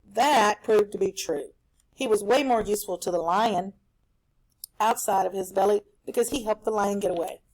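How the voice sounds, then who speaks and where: A woman teaching a recorded reading lesson for children at home, explaining a story in an animated, explanatory way. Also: medium pitch, normal speed, poor audio.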